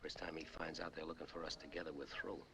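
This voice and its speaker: An actor playing a cautious, tense hostage who reasons tersely in a 1950s crime film. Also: male voice, extremely low pitch, flat delivery, fast. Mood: guarded, urgent. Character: cautious, level-headed male hostage, tense. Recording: noisy old film soundtrack, engine drone.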